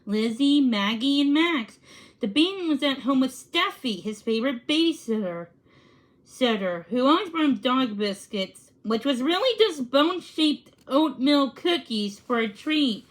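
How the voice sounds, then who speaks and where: A woman reading a children's storybook aloud, haltingly, stumbling over words and correcting herself. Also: high voice. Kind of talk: read-aloud storybook narration.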